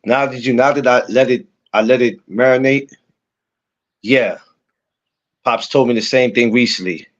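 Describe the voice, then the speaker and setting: A man's voice: medium pitch, moderate pace, pauses often, highs cut off. A man, co-hosting a podcast, teasing his friend in playful banter. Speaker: podcast co-host. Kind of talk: casual chat.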